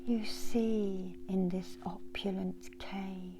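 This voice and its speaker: Very slow, whispery woman guiding meditation. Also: medium pitch, steady tone, background noise. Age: middle-aged. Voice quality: breathy, often whispered. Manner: soft, slow, soothing delivery with long pauses. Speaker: reiki healer and meditation guide. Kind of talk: ASMR guided meditation. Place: small indoor room.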